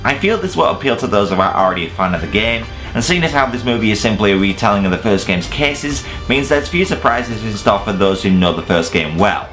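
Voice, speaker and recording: A man's voice: fairly low pitch, animated, a little fast, hardly any pauses, a bit bright, soft background music. A man giving a casual, opinionated scripted video review, emphatic and sweary with self-deprecating humour. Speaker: video reviewer presenting a top ten list.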